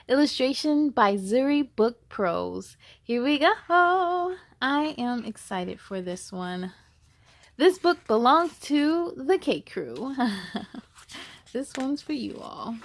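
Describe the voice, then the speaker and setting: High-pitched woman reading for children. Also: dry recording, studio quality. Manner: cheerful, encouraging, expressive. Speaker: host of a children's read-aloud show. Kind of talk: animated children's storytime reading.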